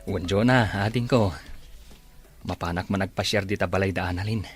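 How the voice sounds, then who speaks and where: A man's voice: low voice, normal speed, faint music behind, close to an everyday voice. A man playing an earnest, courteous young suitor in a radio-style drama.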